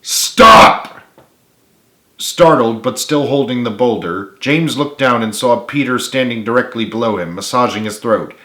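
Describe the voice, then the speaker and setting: Guy reading his story out loud, earnest and dramatic, mid voice, roomy echo. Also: mid-range voice, moderate pace, hardly any pauses, a little hiss or hum. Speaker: author narrating his own book. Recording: roomy, echoey home recording.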